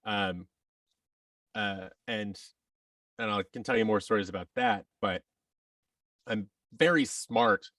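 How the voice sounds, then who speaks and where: A man telling his story casually as a podcast guest, reflective and halting, often acting out bits of quoted dialogue. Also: lowish voice, steady tone, a bit bright, no room sound, clean studio sound, far from the mic. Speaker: podcast guest, actor and audio drama producer.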